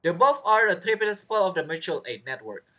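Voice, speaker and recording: A man's voice: high voice, big pitch swings, quick pace, pushed and bright. A man giving chatty, casual playthrough commentary, reacting to the game and reading its text aloud. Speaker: game streamer.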